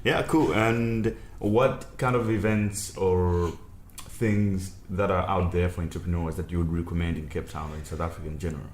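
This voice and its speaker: A man's voice: low voice, fairly even intonation, moderate pace, few pauses. A man hosting a podcast interview, asking questions casually and laughing easily. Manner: brief back-channel replies, laughs easily. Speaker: podcast host. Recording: echoey room with faint noise.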